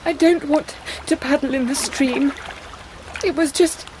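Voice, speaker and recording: A woman's voice: sits high, steady tone, measured pace. Earnest woman psychiatrist, noisy backdrop. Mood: anxious, tearful. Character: earnest, troubled young woman psychiatrist, tender but guarded. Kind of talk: radio drama acting.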